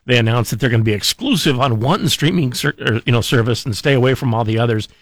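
A man's voice: medium pitch, fast, no echo. A man co-hosting a morning talk radio show, dropping wry, joking asides in casual chat. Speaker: radio co-host. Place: radio studio.

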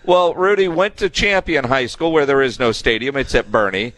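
A man's voice: mid-range voice, normal speed, a bit bright, no room sound. A man hosting a sports radio show, chatting casually and telling teasing anecdotes. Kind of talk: casual chat.

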